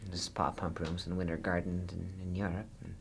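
A man playing a frivolous New York entertainer who gives offhand, deadpan replies in a comic radio interview. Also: sits low, a little fast, gravelly, noisy background. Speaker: half of a singing duo.

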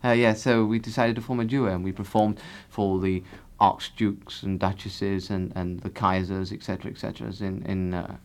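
A man's voice: low-pitched, little pitch movement, moderate pace, few pauses, a little mellow. A man playing a pompous singer who rambles on and keeps correcting his partner in a comic radio interview. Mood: grandiose. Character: pompous man, self-styled bass singer. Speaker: half of a singing duo.

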